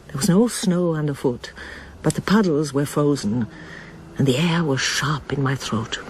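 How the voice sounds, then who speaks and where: A woman's voice: sits low, normal speed, slight background noise, lightly acted. A woman playing a reflective, hesitant older woman haunted by her past in a radio drama. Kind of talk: radio drama acting and first-person narration.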